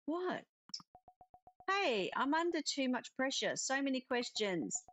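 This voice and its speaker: A woman's voice: high-pitched, very animated, slow pace, fairly bright tone, voice pushed up, full character voice. A woman reading the part of a troubled, grieving musician in a visual novel, hesitant and defensive. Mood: flustered, defensive.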